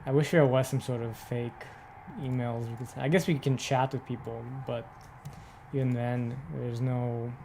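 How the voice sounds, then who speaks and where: A mellow, soft-edged voice casually commentating on a game. The player muses aloud in an offhand way, with faint noise behind. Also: medium pitch, fairly even intonation, moderate pace, low-quality recording.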